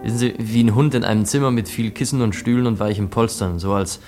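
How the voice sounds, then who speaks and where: A man playing a wistful, shy young suitor in a German radio play, speaking in a halting, pensive way. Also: on the low side, darkish tone, faint music behind, lightly acted. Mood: tentative, tender. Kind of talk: 1950s-set German radio play acting.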